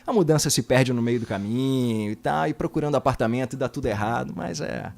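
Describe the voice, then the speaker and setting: A man hosting a casual interview, laid-back and slangy, quick to laugh. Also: medium pitch, vocal fry, a little mellow. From Brazil, from another state. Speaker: podcast host.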